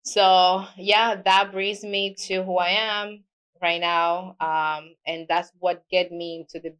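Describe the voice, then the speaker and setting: A woman's voice: lowish voice, little pitch movement, slow pace, a bit bright, clean tone, poor audio. A middle-aged woman with a Spanish accent, a native Spanish speaker, telling her work story in a casual interview with small grammar slips. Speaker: guest, mortgage loan officer.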